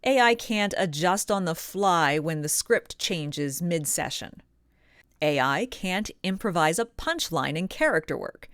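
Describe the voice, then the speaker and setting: A woman hosting a vlog as a professional voice actor, speaking straight to the audience in emphatic, deliberate phrases with pointed pauses. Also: lowish voice, expressive, fairly bright tone, no room sound, studio quality. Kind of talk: direct-to-audience scripted vlog monologue.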